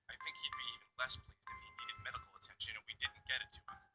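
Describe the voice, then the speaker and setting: An actor playing a steady, practical police detective with a direct, authoritative manner in a visual novel game. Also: male voice, mid-range voice, racing, husky, whispered, soft background music. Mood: questioning, dry. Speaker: detective character.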